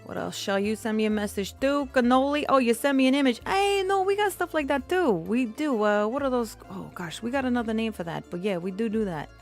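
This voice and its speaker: A woman's voice: a little high, expressive, fairly quick, hardly any pauses, pitched above the performer's own voice. A woman streamer voicing an exuberant mafia don woman, rambling playfully in live role-play chat. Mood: amused, animated. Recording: music playing behind the voice. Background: music.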